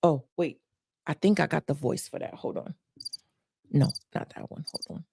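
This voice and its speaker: A woman's voice: low voice, sing-song, moderate pace, vocal fry, dark tone, husky. A woman life coach giving advice on a livestream, emphatic and repeating points for stress.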